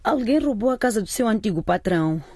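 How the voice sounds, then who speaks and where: A woman's voice: mid-range voice, lively intonation, fairly quick, mellow, breathy edge, no echo. A woman acting in a radio drama as a timid, anxious wife and mother. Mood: anxious, pleading. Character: timid, frightened wife and mother pleading for help.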